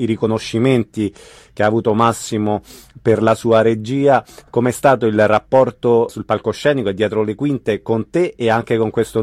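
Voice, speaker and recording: A man's voice: medium pitch, a little mellow, no room sound. Dry-sounding male radio interviewer.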